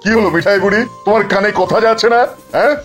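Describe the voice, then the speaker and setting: Man voicing a high-pitched cartoon king. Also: fairly even intonation, close to an everyday voice. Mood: judging. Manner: commanding, measured, judging. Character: king judging a quarrel, adult man. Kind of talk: cartoon voice acting. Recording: music under voice.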